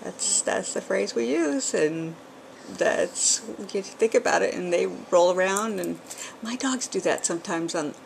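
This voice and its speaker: A woman's voice: on the low side, creaky, slight background noise, poor audio. A middle-aged woman from New England with a New England accent and a bit of Southern drawl, chatting casually at home into a camcorder, rambling with lots of ums. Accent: New England, with some Southern. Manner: chatty, rambling, with frequent ums and small laughs. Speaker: vlogger. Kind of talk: casual chat. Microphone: camcorder built-in mic.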